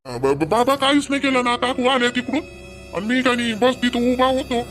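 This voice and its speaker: A man's voice: very high-pitched, normal speed, a bit bright, faint music behind. A man voicing a defensive young village son in a cartoon, pleading and stammering in Vidarbha Marathi.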